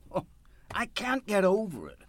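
An actor in a radio play playing a proud, volatile, destitute storekeeper of sixty-eight who swings between indignation and despair. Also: male voice, high voice, huge pitch swings, moderate pace, noisy background. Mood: excited, eager.

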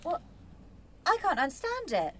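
A guarded young woman in a radio play, a prickly student who speaks bluntly. Her voice is high and bright, with a clipped, defensive edge. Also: huge pitch swings, moderate pace, heavily acted. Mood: wry, curious. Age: young adult. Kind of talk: radio drama acting.

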